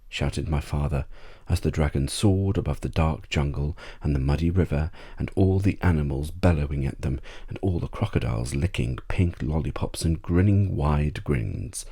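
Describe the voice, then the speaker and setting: Male bedtime-story reader for ASMR audiobook: deep, dark-toned, measured storytelling. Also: vocal fry, slightly rough.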